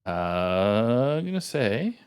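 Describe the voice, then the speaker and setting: Lively Canadian man casting a game. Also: lowish voice, takes it slowly, clear, resonant tone. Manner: animated, jokey. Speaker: esports caster. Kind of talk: live game commentary.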